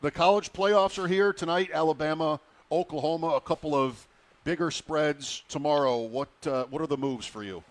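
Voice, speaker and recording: A man hosting a sports radio show, asking questions in a relaxed, conversational way. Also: fairly high pitch, normal speed, no echo. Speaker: radio host.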